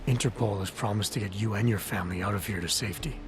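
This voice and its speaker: Game hero agent, played by a man: deep-set voice, quick delivery, businesslike, determined, keeps emotion in check. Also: noisy background, lightly acted. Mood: calm, persuasive. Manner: terse, matter-of-fact, restrained. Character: calm, determined male covert agent, controlled and businesslike. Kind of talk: dramatic video game acting. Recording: game dialogue under loud music. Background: game music.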